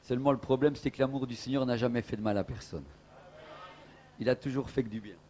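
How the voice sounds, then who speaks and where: A man's voice: medium pitch, fairly even intonation, quick pace, warm tone. A man from Portugal preaching a sermon as an evangelist in a church, emphatic, with rising exclamations.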